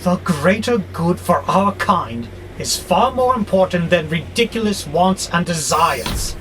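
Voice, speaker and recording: High-voiced, grandiose robot rebel played by a man. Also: lively intonation. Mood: defiant. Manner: grandiose, slow and theatrical, exasperated. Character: arrogant, frustrated male warehouse robot plotting war on humans. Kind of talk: audio drama acting. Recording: clearly noisy background hum.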